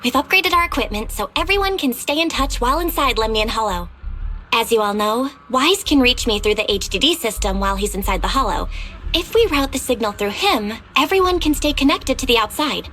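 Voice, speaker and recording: An actress playing a composed, helpful tech analyst in a video game, explaining things articulately. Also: female voice, fairly high pitch, animated, fairly quick, bright, forceful tone, faint music behind. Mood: upbeat, explaining.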